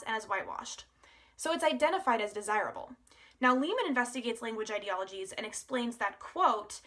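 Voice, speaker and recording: A woman's voice: on the high side, sharp tone. A woman presenting a prepared academic analysis as a scripted student narration.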